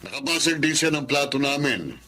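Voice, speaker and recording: A man's voice: mid-range voice, lively intonation, brisk, bright, forceful tone, a little hiss or hum. An actor playing a stern, callous middle-aged moneylender father in a radio drama, indignant and accusing.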